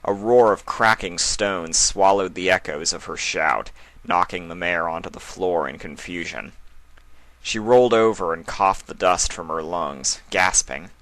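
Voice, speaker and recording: A man's voice: deep voice, a little slow, a bit bright, no room sound. A man reading a fantasy story aloud as its narrator, in a dramatic scripted style. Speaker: fan fiction narrator.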